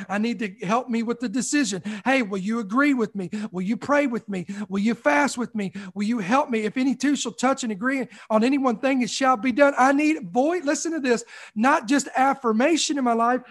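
A man's voice: very high-pitched, a bit bright. Preaching man in his forties. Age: 40s. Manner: emphatic preaching with repeated phrases and call-outs. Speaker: pastor. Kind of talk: sermon.

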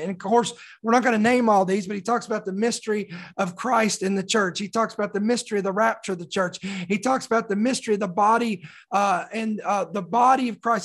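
A preacher delivers an expository sermon in a mid-pitched, slightly raspy voice. The voice sounds mildly hoarse from a sore throat. Key words are stressed deliberately, and phrases are repeated for emphasis between readings of scripture.

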